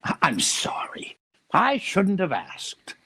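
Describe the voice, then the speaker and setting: Wildly animated gossipy boss. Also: male voice, high-pitched, takes it slowly, in a whisper, heavily acted. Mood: sheepish, prying. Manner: prying, exclamatory. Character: nosy, gleeful businessman boss, a gossipy busybody. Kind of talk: old-time radio comedy acting.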